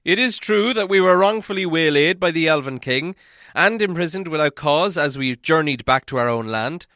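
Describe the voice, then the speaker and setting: A man voicing a proud exiled dwarf king in an audiobook, declaiming solemnly and loudly. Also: a little high, animated, moderate pace, no echo, studio quality, voice pushed up. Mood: indignant, stately. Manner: solemn, grandly declaiming, loud. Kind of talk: audiobook character acting.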